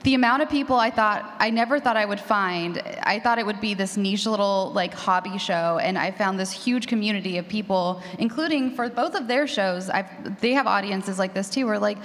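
A woman's voice: medium pitch, normal speed, hardly any pauses, a bit bright. A woman speaking casually and earnestly as a panelist. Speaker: panelist and horror podcast host. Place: large indoor room at a convention panel. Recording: reverberant hall.

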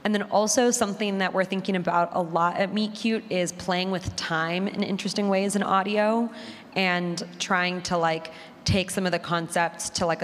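A woman with a slightly low voice answers as a panelist in an unscripted, conversational way. Long hall reverb surrounds her, over faint background noise.

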